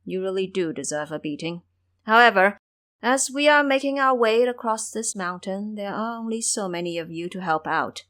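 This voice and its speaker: An elder monk and master, voiced by a woman in an audio storybook. He admonishes in measured phrases, merciful yet reproving, with expressive pitch movement. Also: natural voice.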